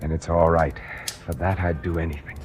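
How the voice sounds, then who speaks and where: An actor playing a weary, tormented painter who narrates and speaks in a 1940s radio drama. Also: male voice, deep voice, steady tone, brisk, darkish tone, a little hiss or hum, lightly acted. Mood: weary, bitter. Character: weary, tormented male painter confessing to murder. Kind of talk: 1940s radio acting, first-person narration and dialogue. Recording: old radio drama recording.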